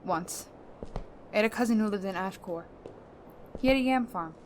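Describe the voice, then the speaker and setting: Actress as stern, wounded female captain, guarded, mid-range voice, faint rumble underneath. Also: moderate pace, lightly acted. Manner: guarded, terse, suspicious. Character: wary, injured, stern woman spaceship captain. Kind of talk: audio drama acting.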